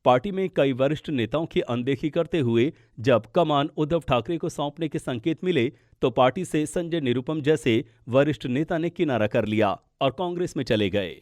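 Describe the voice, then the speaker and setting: A man's voice: mid-range voice, normal speed, hardly any pauses, a little mellow, no echo, clean studio sound. A man narrating a scripted political explainer as a news podcast presenter.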